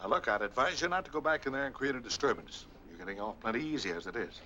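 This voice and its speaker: A brisk, skeptical police captain. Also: male voice, medium pitch, expressive, bright, forceful tone. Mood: stern, skeptical. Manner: authoritative, dismissive. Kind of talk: 1940s film acting. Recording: noisy old film soundtrack.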